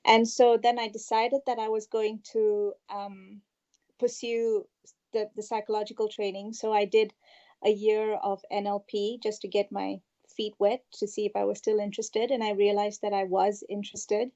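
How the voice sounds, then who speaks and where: Woman guest from Malaysia, warm mellow tone, slightly dull lo-fi line, thoughtful storyteller. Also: medium pitch, flat delivery, normal speed. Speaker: guest, past life regression therapist. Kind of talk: interview storytelling.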